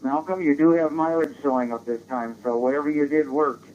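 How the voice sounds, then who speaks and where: A man's voice: fairly high pitch, steady tone, fairly quick. A man chatting casually as an amateur radio operator, heard through a digital radio transmission. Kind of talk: casual ham radio chat. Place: in a car. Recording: dull digital radio link, faint noise.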